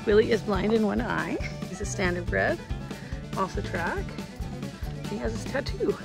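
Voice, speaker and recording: A woman's voice: medium pitch, expressive, moderate pace, gravelly, music in the background. A woman in her thirties chatting casually on her farm vlog outdoors, filming on a handheld phone and cooing at her horses. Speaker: farm vlogger. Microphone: handheld phone camera.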